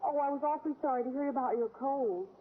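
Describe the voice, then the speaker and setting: A high, girlish voice on a tinny vintage radio recording, an actress as an eager teenage schoolgirl. She chatters earnestly and confidingly in old sitcom style. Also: fast, natural voice. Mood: chatty, confiding. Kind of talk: 1940s-50s radio sitcom acting.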